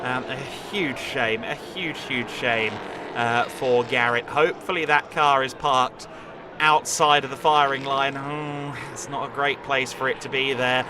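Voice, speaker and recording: Guy doing live race commentary, steady measured delivery, loud car engines roaring behind. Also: medium pitch, noisy background. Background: racing engines.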